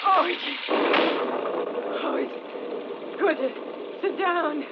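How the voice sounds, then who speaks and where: A woman's voice: very high-pitched, extremely slow, full character voice. An actress playing a frantic, pleading stranded woman in an agitated, melodramatic 1940s radio thriller style. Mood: shaken, exhausted. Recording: muffled, noisy vintage radio recording.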